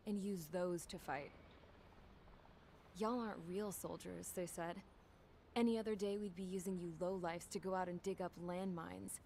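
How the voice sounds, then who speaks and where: Cocky convict girl woman acting, kinda low voice, slangy n sardonic game narration, bit of noise behind. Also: a little mellow, close to an everyday voice. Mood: mocking. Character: cocky, wry young woman convict mechanic narrating her war. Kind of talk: first-person narration in a game cutscene.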